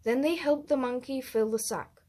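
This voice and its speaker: A woman with a fairly high voice reads a children's picture book aloud. She is expressive and deliberate, leaning on the rhymes as a storyteller.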